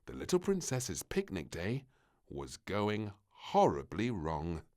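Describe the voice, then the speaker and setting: Casting: male children's story narrator, unhurried and dramatic, hugely animated pitch swings. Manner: expressive, dramatic. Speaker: storybook reader.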